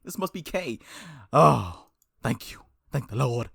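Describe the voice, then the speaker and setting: Expressive male streamer, very varied pitch. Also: takes it slowly, vocal fry, husky. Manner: expressive read-aloud, slangy asides. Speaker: game streamer, let's-play host. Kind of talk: reading a visual novel aloud with casual reactions.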